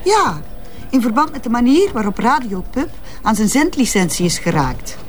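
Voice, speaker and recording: An actress playing a cool, condescending newspaper editor in a radio drama, pointed, sardonic and articulate. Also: female voice, fairly high pitch, big pitch swings, normal speed, darkish tone. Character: cool, condescending female interim newspaper editor, knowing and coy. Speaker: actress in a detective audio drama. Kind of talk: naturalistic radio drama dialogue.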